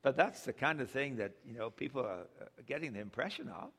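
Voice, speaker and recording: A man's voice: mid-range voice, lively intonation, a little fast, a bit bright, breathy edge. An older man from England, preaching a sermon with humorous storytelling. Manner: humorous storytelling, chuckles at own jokes. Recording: long hall reverb.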